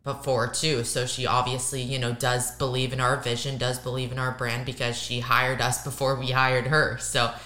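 A young woman with a raspy, hoarse voice that she is losing, talking in an emphatic, casual way and doubling up words for stress. Her tone is bright and forceful, and the sound carries the echo of a roomy space. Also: a bass voice, little pitch movement, moderate pace, hardly any pauses. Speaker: podcast co-host. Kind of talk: casual podcast chat.

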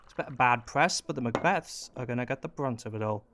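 A man's voice: medium pitch, fast talker, lightly acted. Wry political schemer, slightly noisy recording , man acting. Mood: wry, scheming. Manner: wry, needling, colloquial. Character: wry, sly political aide, also playing prodding reporter. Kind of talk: radio play acting.

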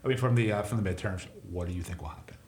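A man's voice: lowish voice, racing, a little mellow, slight background noise. A man hosting a podcast, asking questions in a chatty, rambling way. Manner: chatty, rambling, with many fillers. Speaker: podcast host. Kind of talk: casual podcast interview. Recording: roomy echo.

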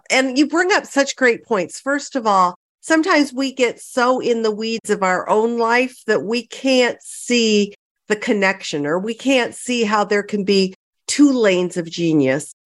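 A woman's voice: a little high, animated, a little slow. A woman hosting a podcast interview, summing up her guest's points in a supportive way. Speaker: podcast host.

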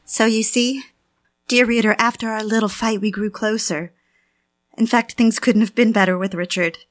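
An actress playing a yearning, insecure mistress in a melodramatic audio drama, intimate and confiding in manner. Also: female voice, on the high side, steady tone, normal speed, lightly acted. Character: yearning, jealous, insecure mistress narrating her affair. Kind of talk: melodramatic audio drama with first-person narration.

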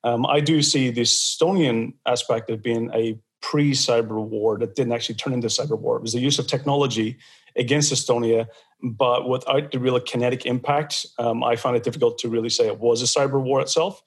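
Male host, casual podcast chat, medium pitch, loose and rambling delivery. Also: fairly even intonation, brisk, husky. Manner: rambling, many fillers and restarts.

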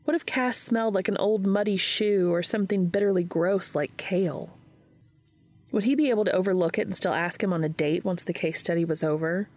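A woman reading fan fiction aloud as an expressive scripted story narration. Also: lowish voice, fairly quick.

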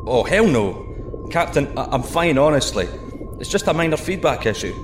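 An actor playing a plucky, excitable male astronaut in a sci-fi audio drama, breezy and cocky between clipped technical reports. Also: on the high side, moderate pace, reverberant, noisy background. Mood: eager, assured. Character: plucky, excitable male astronaut piloting a deep-sea microsub.

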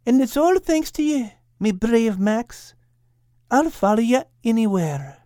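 Man putting on a high-voiced, devoted Scottish lady terrier. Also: very animated, measured pace, mellow, dry recording, clean studio sound, heavily acted. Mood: adoring. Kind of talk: audiobook character voice.

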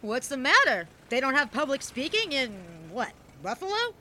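An actress playing a bold, sassy soapbox activist with a brash way of talking in a 1920s period radio drama. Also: female voice, sits high, very animated, normal speed, bright, forceful tone, slight background noise, heavily acted. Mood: teasing.

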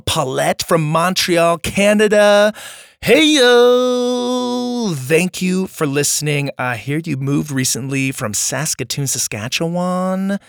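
Hoarse man hosting a kids' podcast. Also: a little high, no room sound, clean studio sound. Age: adult with young children. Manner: upbeat and friendly, addressing kids directly. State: hoarse, losing his voice. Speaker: children's podcast host and storyteller. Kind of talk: casual outro chat to young listeners.

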